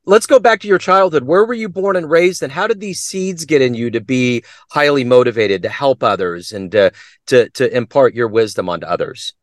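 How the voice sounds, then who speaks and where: Male podcast host, upbeat questioner, expressive intonation, dry sound. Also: mid-range voice, moderate pace, no room sound. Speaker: interview host. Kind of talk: interview questions.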